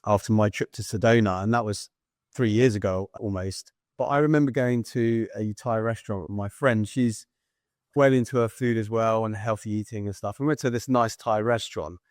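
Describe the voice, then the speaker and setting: A man's voice: on the low side, normal speed, darkish tone, dry recording. Chatty man, dry sound. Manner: chatty, rambling storyteller. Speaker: podcast host. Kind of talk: casual conversational podcast chat.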